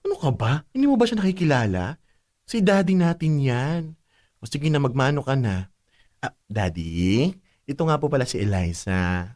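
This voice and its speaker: A woman's voice: very deep voice, sing-song, moderate pace, darkish tone. A woman playing a bossy, protective older sister in a Filipino radio drama, chatty and scolding, with a Filipino accent. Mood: teasing, bright. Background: background music.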